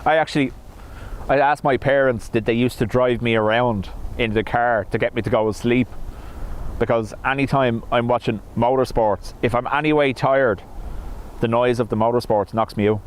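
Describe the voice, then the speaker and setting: A man's voice: mid-range voice, steady tone, moderate pace. A man hosting a live stream, chatting casually and jokingly while reading out viewer comments on a wireless microphone. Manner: chatty, jokey, self-mocking. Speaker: livestream host. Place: outdoors in a van by an airport. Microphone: wireless microphone with wind filter. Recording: wind noise on an outdoor mic.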